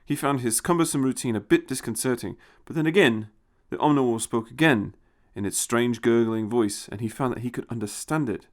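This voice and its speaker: A man's voice: medium pitch, fairly quick, few pauses. A man reading a scripted science fiction story as its narrator.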